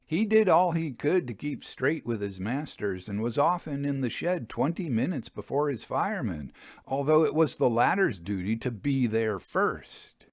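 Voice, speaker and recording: A man giving a measured, expressive, dramatic audiobook narration of a Victorian ghost story. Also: medium pitch, no room sound, clean studio sound.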